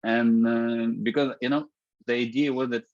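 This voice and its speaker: A man, a guest in an interview, talking in a halting way with many restarts and fillers. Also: mid-range voice, fairly even intonation, darkish tone, dry recording, highs cut off. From Ukraine. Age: 30s. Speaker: guest, startup founder. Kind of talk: casual interview talk.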